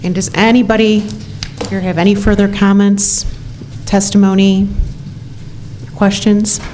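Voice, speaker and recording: A woman's voice: mid-range voice, flat delivery, measured pace, dark tone, a little hiss or hum. A woman chairing a city commission meeting, formal and procedural. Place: council chamber.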